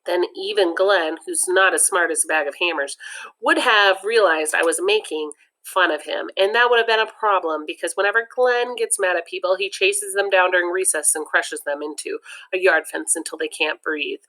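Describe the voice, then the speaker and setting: A woman's voice: lowish voice, normal speed, pushed and bright, poor audio. A woman reading a children's comic book aloud, breaking off now and then to add her own chatty comments. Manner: chatty, adds personal comments between passages. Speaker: read-aloud narrator.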